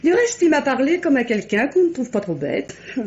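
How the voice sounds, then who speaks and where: An actress playing a chatty, saucy, coquettish young French chambermaid in a vintage radio mystery. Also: female voice, high-pitched, big pitch swings, fairly quick, a little mellow. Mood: pert, defiant. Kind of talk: mid-20th-century French radio mystery acting. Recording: muffled vintage radio recording.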